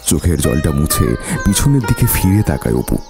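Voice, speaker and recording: A man's voice: a bass voice, wildly swooping pitch, normal speed, vocal fry, music in the background. A man narrating a scripted story in a dramatic storytelling style.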